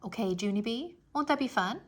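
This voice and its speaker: A woman voicing a firm, coaxing mother of a young girl in an expressive children's storybook reading. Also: fairly high pitch, a little fast. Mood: reassuring. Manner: coaxing, emphatic. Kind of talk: children's storybook read-aloud.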